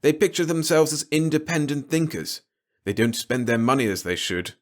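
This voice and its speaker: A man's voice: on the high side, normal speed, voice pushed up, lightly acted. A man voicing a grumpy, pompous, disapproving middle-aged father in a storybook reading. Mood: stern. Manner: blustering, pompous.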